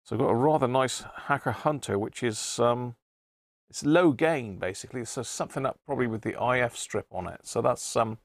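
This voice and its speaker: Croaky man, chatty stream host. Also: medium pitch, brisk, no room sound, studio quality. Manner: chatty, greets viewers by name, self-deprecating. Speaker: radio repair livestream host. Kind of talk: casual live-stream chat.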